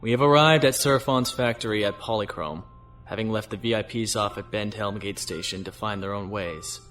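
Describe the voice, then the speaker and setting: A man acts a weary starship captain with a fairly low voice. He speaks earnestly and reflectively, as a principled leader in a space audio drama, with music behind him. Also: moderate pace, a bit bright, lightly acted. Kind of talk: science fiction audio drama acting.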